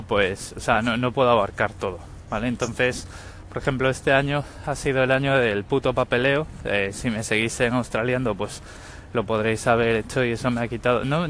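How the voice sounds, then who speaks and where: A fellow podcaster calling in with a candid, casual message and mild swearing. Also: male voice, medium pitch, a bit bright, slight background noise.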